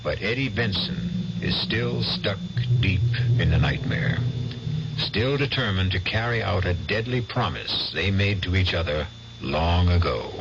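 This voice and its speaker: A man giving grave, measured narration for a 1970s radio drama. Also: low voice, animated, gravelly. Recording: dull old radio broadcast with hiss.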